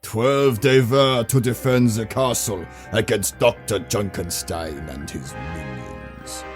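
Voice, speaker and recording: Man acting ominous fantasy narrator, very low voice, slow, theatrical, music underneath. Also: animated. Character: solemn, ominous storyteller of a spooky fantasy tale.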